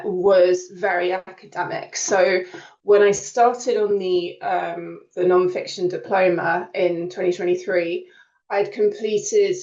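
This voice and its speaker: A woman giving an informal, reflective testimonial as a creative writing student at a virtual open day, explaining things with some hedging. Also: mid-range voice. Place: indoor room on a video call. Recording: roomy, distant, slightly dull online call.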